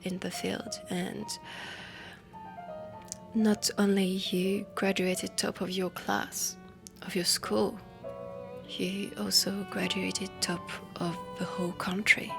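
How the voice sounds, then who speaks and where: Slow, soft French-accented heiress played by a woman. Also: medium pitch, level tone, gravelly. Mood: admiring. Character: refined, ambitious heiress inventor, earnest and flirtatious, steampunk. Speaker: roleplay audio performer. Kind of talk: soft intimate audio roleplay. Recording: music under the voice. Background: background music.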